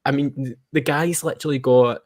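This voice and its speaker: A man hosting a casual chat interview, talking in an informal, animated way and often cutting into his own sentences. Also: medium pitch, a little fast. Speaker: podcast host.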